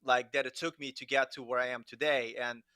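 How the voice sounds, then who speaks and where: A man's voice: mid-range voice, level tone, a little fast, sharp tone, clear, resonant tone, dry recording. A middle-aged man talking informally and enthusiastically as a guest on a casual livestream, often saying "like" and "you know". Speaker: guest developer and content creator.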